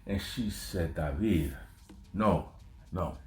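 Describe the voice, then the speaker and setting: A man's voice: low-pitched, takes it slowly, echoey room. A man from Northern California hosting a podcast, talking casually in a blunt, profane, conversational rant. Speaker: podcast host. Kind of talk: casual monologue.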